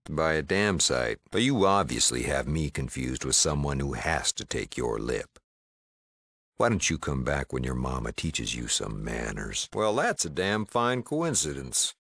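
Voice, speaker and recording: Gruff small-town frontier mayor and shopkeeper for a game: American, bottom-of-the-range bass, no-nonsense, folksy, close dry sound. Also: male voice, fairly bright tone, no echo, exaggerated voice. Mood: folksy, affable. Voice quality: deep, gravelly. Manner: folksy drawl, wry, no-nonsense. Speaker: video game character. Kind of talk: video game dialogue acting.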